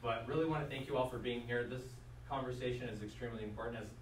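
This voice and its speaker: Fast-talking man in an echoey room. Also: medium pitch, fairly even intonation. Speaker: district representative for a state senator. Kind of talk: short public remarks. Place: room at a public meeting. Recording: echoey room, distant mic, faint noise.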